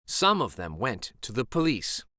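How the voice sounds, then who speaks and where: Male storyteller, children's read-aloud, expressive scripted narration, bright forceful tone, slow pace, dry sound. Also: medium pitch, dry recording.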